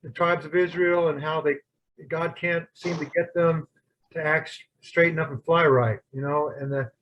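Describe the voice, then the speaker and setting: A man guides a Bible study group, thinking aloud and often restarting his sentences. His voice sits a little high for a man. The sound is dull and lo-fi. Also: fairly even intonation. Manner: halting, many restarts and fillers. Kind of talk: casual group discussion.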